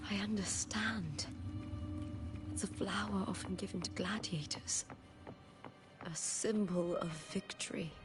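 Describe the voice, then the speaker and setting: A woman plays a young warrior heroine in a video game, wounded yet defiant. Her delivery is very slow, solemn and halting, with music playing clearly behind her. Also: medium pitch, natural voice. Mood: solemn, reflective. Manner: halting, solemn, breaking lines into short phrases. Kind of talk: dramatic video game voice acting.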